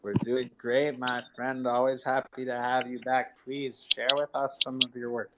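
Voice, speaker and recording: A man's voice: medium pitch, flat delivery, moderate pace, heavy background noise. A man hosting a live community audio show, informally addressing listeners and guests in an encouraging, promotional way. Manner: encouraging, informal, calls people "my friend".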